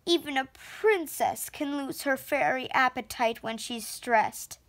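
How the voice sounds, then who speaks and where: A woman reading a children's story aloud with expressive, deliberate phrasing. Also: high-pitched. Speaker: storybook reader. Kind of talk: children's read-aloud narration.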